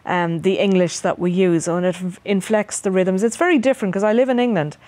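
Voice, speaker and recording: A middle-aged Irish woman poet, reading her work and answering interview questions in a measured, hesitant way with many ums, recorded on a studio set with a lapel mic. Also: mid-range voice, fairly even intonation, darkish tone, dry recording. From Ireland. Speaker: poet guest.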